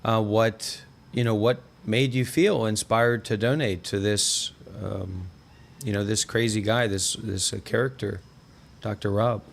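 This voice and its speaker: A man's voice: fairly low pitch, fairly even intonation, unhurried, creaky. A man in his thirties hosting a casual livestream chat, talking in a discursive way peppered with "you know". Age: 30s. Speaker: host, former monk and content creator.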